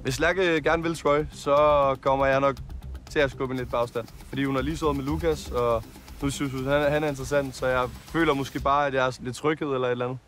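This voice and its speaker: A man's voice: medium pitch, fairly even intonation, fairly bright tone. A man, a reality show contestant, speaking candidly and a bit deflated in a confessional interview.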